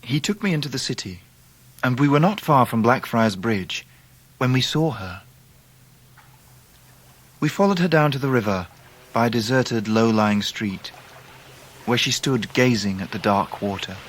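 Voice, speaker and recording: An actor playing a Victorian gentleman narrating his own life in a radio drama, with an English accent and measured literary delivery. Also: medium pitch, expressive. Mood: reflective. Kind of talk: scripted first-person radio drama narration.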